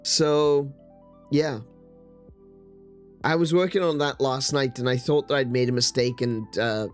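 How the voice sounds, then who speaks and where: Male streamer host, mid-pitched, slightly strained croaky voice, loose rambling delivery full of fillers, faint music under. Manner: rambling, hesitant, many fillers and restarts. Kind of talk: casual live-stream chat.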